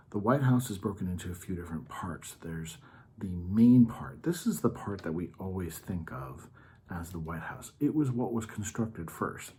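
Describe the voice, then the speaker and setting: Low, mellow man explaining things simply. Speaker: story time host reading to children. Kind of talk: informal educational read-aloud and show-and-tell.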